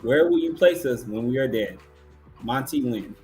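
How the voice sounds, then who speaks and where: A man's voice: mid-range voice, lively intonation, moderate pace, a little mellow. Man hosting, faint music behind. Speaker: awards show host. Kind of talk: casual live awards hosting.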